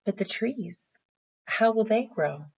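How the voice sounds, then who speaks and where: A woman voicing a gently questioning, practical mother in a bedtime story read aloud. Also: mid-range voice, expressive, slow, dull audio, voice pushed up.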